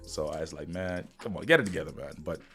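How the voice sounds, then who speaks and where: A man's voice: a bass voice, fast, fairly bright tone. A man with a Bahamian accent hosting a casual live stream, rambling and upbeat as he talks straight to viewers, still getting over the flu. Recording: music playing faintly behind.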